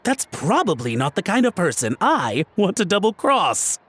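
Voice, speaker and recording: A man's voice: high-pitched, very animated, normal speed, a bit bright, a little hiss or hum. A man playing a nervous, eager-to-please suspect in a video game, stammering and deferential. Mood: uneasy.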